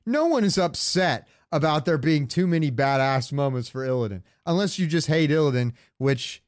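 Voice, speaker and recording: A man's voice: fairly high pitch, animated, normal speed, no echo, studio quality. A man co-hosting a casual gaming podcast, talking in an informal, rambling way with some swearing. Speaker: podcast co-host. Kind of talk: casual banter about video games.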